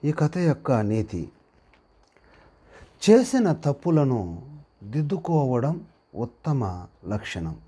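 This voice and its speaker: A man reading a moral story aloud as a narrator, deliberately, in short phrases with pauses, as if for dictation. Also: mid-range voice, big pitch swings, dark tone, breathy edge.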